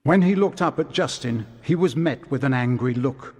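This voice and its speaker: Husky, dramatic man narrating a story. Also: medium pitch, normal speed. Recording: long hall reverb.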